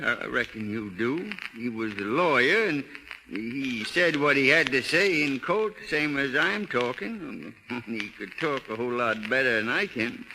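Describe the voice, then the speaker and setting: An actor playing a folksy, stubborn old hound owner with a rural American drawl and halting, plain-spoken manner in a 1930s radio drama. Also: male voice, mid-range voice. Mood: earnest, stubborn. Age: older. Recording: hissy 1930s radio recording with clicks.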